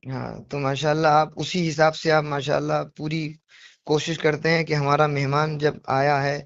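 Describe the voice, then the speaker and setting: A man's voice: a little high, little pitch movement, normal speed, creaky, no room sound. A man on a recorded phone call, speaking earnestly and apologetically, explaining himself insistently with repetitions and rhetorical questions. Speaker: devotional poetry reciter on a phone call.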